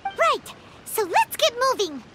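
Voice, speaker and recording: A woman voicing a tiny, excitable, childlike girl companion in a video game, squeaky and eager. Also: takes it slowly, heavy background noise, exaggerated voice. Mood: excited, curious. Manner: exclamatory, eager, wide-eyed. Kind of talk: scripted video game dialogue. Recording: loud game music behind the voice.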